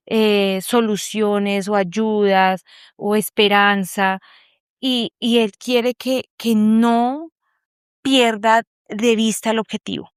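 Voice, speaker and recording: A woman gives a heartfelt, reflective faith testimony over a video call. Her voice is smooth and clear, with a dry, close sound and no room echo. She speaks at an even, middle pitch. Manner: earnest, reflective, searching for words. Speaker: group member sharing a testimony. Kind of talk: personal faith testimony in an online meeting.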